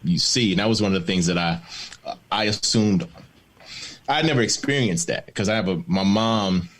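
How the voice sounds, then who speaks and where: A man born in the Bahamas and raised in Maryland, a TV showrunner speaking as a guest, telling personal stories in a candid panel conversation, reflective and quick to laugh, over a video call. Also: lowish voice, fairly bright tone. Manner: reflective, storytelling, laughs easily.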